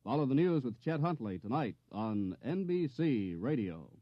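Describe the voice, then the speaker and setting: A 1950s American radio announcer with a mid-pitched man's voice, reading promotional copy. The sound is a dry, dull vintage broadcast recording with little top end. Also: very animated, moderate pace, no room sound.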